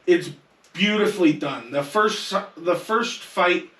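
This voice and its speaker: A man's voice: sits high, animated, takes it slowly, fairly bright tone. A man talking casually about films as a panelist on a podcast. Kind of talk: casual film discussion. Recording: roomy echo, distant mic.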